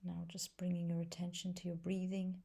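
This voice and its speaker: A woman leading a guided meditation as a teacher, speaking softly and gently in a breathy voice with long pauses between phrases. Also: on the low side, completely flat delivery. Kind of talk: guided body scan meditation.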